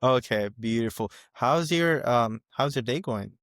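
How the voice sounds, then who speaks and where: A man's voice: mid-range voice, expressive, a little slow, fairly bright tone, dry recording, clean studio sound. A man hosting an interview podcast, speaking in an upbeat way with frequent laughter and small verbal slips, over a video call. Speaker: podcast host. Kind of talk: casual interview conversation.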